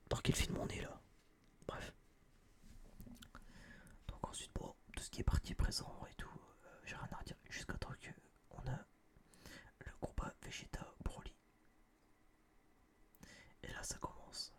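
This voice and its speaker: A young man whispering a casual, opinionated ASMR review in a breathy voice, rambling and slangy, close to a binaural microphone. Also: unhurried, pauses often. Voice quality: breathy, mostly unvoiced whisper. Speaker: host of an ASMR film review video.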